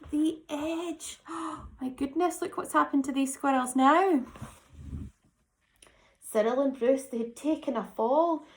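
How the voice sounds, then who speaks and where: A high-voiced woman narrates a children's picture book at a slow, measured pace. She stresses words dramatically and breaks off for chatty asides to young listeners, as a teacher would. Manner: animated, dramatic emphasis. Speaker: teacher reading a story to children.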